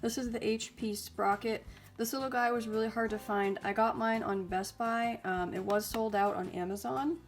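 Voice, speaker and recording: A young woman hosting a casual vlog, reviewing gadgets in a chatty, upbeat way with lots of ums and likes. She sounds a bit raspy and stuffy because she is getting over a cold. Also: fairly high pitch, steady tone, moderate pace. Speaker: YouTube host reviewing mini printers.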